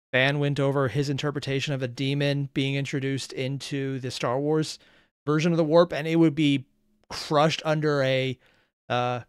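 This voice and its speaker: A man with a clear, smooth voice giving casual, musing off-the-cuff commentary as a reaction host, close to the mic. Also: a little high, no room sound. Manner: musing, thinking aloud, questioning.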